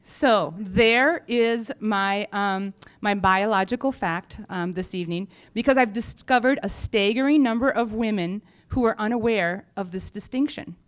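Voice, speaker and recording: A woman's voice: medium pitch, measured pace, hardly any pauses. A woman company founder giving an informal update on stage, speaking in a frank, humorous, chatty way. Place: on stage at a live event.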